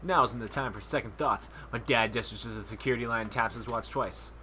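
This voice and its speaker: A man's voice: mid-range voice, brisk, pushed and bright, a little hiss or hum. A young man hosting a gaming channel, reading game text aloud and breaking off into jokey casual chat.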